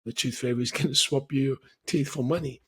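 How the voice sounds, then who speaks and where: Man, very raspy voice, podcast host, measured explaining. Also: medium pitch, steady tone, fairly bright tone. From grew up speaking Portuguese. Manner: measured, repetitive explaining with restarts. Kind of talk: solo explanatory talk.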